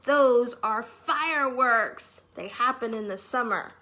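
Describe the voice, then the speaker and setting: A woman voicing a playful, knowing young female mouse in a storybook, explaining things simply in drawn-out phrases. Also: a little high, sharp tone. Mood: knowing, helpful.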